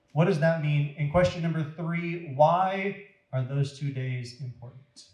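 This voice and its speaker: A man reading a story aloud to children in a deliberate, dramatic, expressive way. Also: a little high. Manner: deliberate, dramatic, addressing young listeners. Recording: roomy echo.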